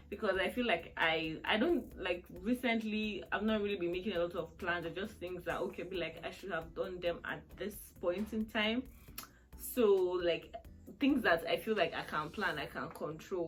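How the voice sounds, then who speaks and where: A woman's voice: lowish voice, fairly bright tone. A woman in her twenties chatting through a question-and-answer vlog, rambling and laughing often. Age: 20s. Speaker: vlogger. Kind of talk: casual Q&A chat.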